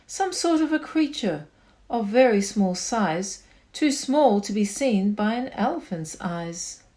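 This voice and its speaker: Lady doing storytime read aloud, high voice, super animated, expressive kids book style. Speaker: storytime reader.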